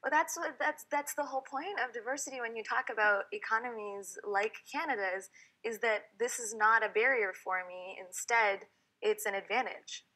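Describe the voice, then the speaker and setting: A woman's voice: fairly high pitch, normal speed, sharp tone, poor audio. A woman in her twenties from Saudi Arabia, a company founder, speaking confidently on a panel. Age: 20s.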